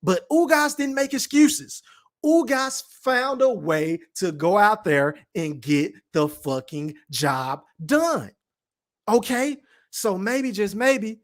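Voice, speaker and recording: A high-voiced man with a Texan accent holds forth on a casual livestream. He is blunt and emphatic, swears freely, and keeps addressing the chat. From Texas, Dallas-Fort Worth. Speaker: podcast host reading live chat.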